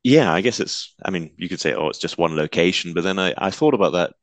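A man hosting a podcast in relaxed, agreeable casual chat. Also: low voice, fast, dry recording. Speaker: podcast host.

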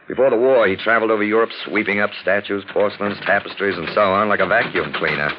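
An actor playing a witty, erudite amateur detective in a 1940s radio drama, urbane and wry with elaborate diction. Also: male voice, on the low side, quick pace, natural voice. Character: suave, witty, erudite male amateur detective, lightly mocking. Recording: dull, noisy old radio recording.

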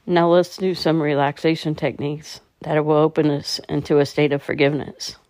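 A woman leading a guided meditation in a calm, measured, soothing manner. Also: low-pitched, no echo.

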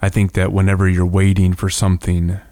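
A man hosting an informal devotional reflection, speaking in a halting, thoughtful way with frequent pauses. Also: low voice, monotone, dark tone, no echo, clean studio sound. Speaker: host giving a reflection.

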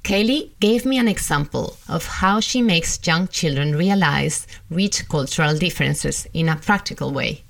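A woman giving formal, measured scripted narration as documentary host. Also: low-pitched, lively intonation, gravelly.

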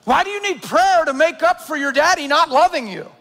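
Man, 50s, pastor; high-pitched, intense sermon voice with a long reverb. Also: expressive, brisk. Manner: builds from low, quiet delivery to shouting intensity.

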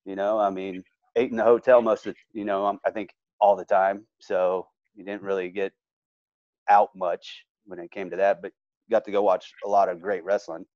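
Low-voiced easygoing wrestling coach. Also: male voice, little pitch movement, moderate pace, dry recording, lo-fi sound. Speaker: assistant wrestling coach guest. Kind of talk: casual interview chat.

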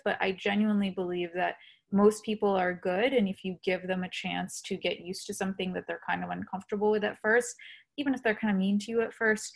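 A woman being interviewed, talking earnestly and reflectively with frequent fillers. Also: mid-range voice. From India. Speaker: guest. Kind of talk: conversational interview.

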